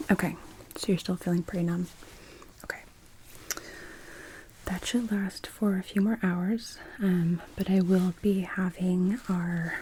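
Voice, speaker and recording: A woman's voice: fairly low pitch, a little hiss or hum, low-quality recording. Whispering woman in an ASMR doctor roleplay. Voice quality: whispered, breathy. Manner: gentle, reassuring, explanatory. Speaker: ASMR performer playing an emergency room doctor.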